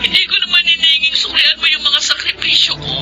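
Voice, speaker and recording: An actress playing a self-sacrificing eldest sister working abroad in a Filipino radio drama, with a Filipino accent and tearful, pleading delivery. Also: female voice, very high voice, fairly even intonation, fairly quick, rough recording. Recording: music under the voice.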